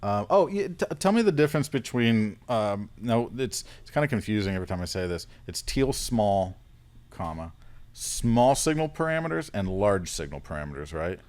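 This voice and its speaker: A man's voice: lowish voice, sing-song, darkish tone. A man hosting a casual interview, feeling his way through questions with frequent restarts. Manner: halting, searching questions with restarts. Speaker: interviewer, host.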